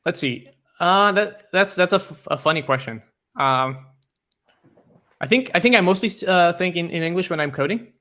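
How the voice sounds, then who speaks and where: Bright-voiced man, hesitant. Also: high voice, normal speed. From Portugal. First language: Portuguese. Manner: hesitant, many restarts and fillers. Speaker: guest, open source developer. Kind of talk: casual interview chat.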